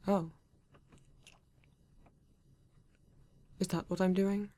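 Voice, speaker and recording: A woman voicing a polite, formal male android detective in an ASMR roleplay, with a British accent and stiff, measured phrasing. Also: mid-range voice, little pitch movement, dark tone, natural voice. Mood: earnest, composed.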